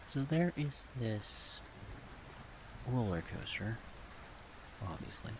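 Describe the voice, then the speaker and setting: Slow, low-pitched soft-spoken ASMR man. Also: noisy background. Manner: halting, thinking aloud in short phrases. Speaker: ASMR video creator.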